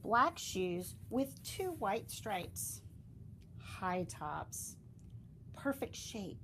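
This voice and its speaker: A woman reading a children's storybook aloud, expressively, with dramatic emphasis and deliberate pauses. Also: medium pitch, sing-song, a bit bright, slight background noise. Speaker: storybook reader.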